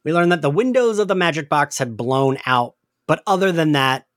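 A man's voice: fairly high pitch, animated, moderate pace, a bit bright, no echo, studio quality. A man hosting a podcast, casually recapping an episode in informal, chatty speech peppered with "like". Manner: informal, frequent "like" and swearing. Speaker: podcast host.

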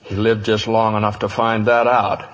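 Actor as low, laconic cowboy marksman. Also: level tone, moderate pace, long reverb tail, lo-fi sound, natural voice. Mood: cold. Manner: laconic, drawling. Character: quiet, determined, vengeful cowboy marksman. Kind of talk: 1950s radio western acting.

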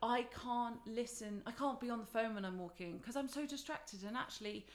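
A woman's voice: fairly high pitch, normal speed. A young woman, chatting enthusiastically as a podcast guest, often restarting her sentences. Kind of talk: casual conversation.

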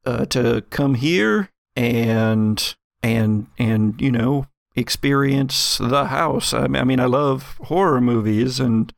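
A man plays an affable Texan guy in his forties with fry in his voice. He speaks haltingly, stammering and rambling as he improvises in an audio drama, recorded close and dry. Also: mid-range voice, no room sound. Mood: easygoing. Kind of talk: improvised role-play dialogue.

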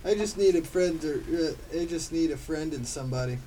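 A man playing a slow-witted, defensive male sloth who stumbles through his words in an amateur audio comedy. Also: a little high, dark tone, close to an everyday voice. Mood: hesitant. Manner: halting, stumbling over lines. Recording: distant mic, clearly noisy hiss.

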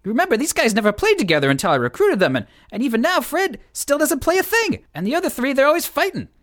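A woman voicing an excitable, cowardly male band manager in a comedy radio play, stammering and pleading melodramatically. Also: high voice, fast talker. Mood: pleading, panicked.

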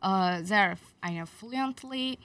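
A woman's voice: medium pitch, slow, a bit bright. A teenage girl from Azerbaijan speaks. Her phrasing is careful, and she sits a little far from the mic. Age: teens. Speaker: exchange student guest.

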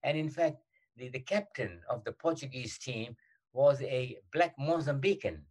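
An older man with a South African accent, recalling his past in an interview, halting often with many fillers and restarts. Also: medium pitch, a little mellow. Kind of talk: reminiscing in an interview.

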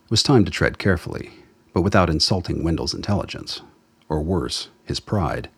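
A man's voice: expressive, a little fast. A man reading scripted dramatic narration as the storyteller of a radio play. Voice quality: very deep, husky.

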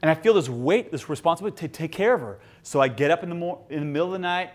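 A young adult man preaching a sermon as a pastor, telling stories with emphasis and swinging between loud lines and hushed ones. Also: on the high side, lively intonation, rapid-fire. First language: English.

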